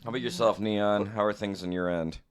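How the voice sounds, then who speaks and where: Deep, slightly hoarse male host. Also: steady tone, a little fast. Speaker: podcast co-host. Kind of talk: casual podcast chat.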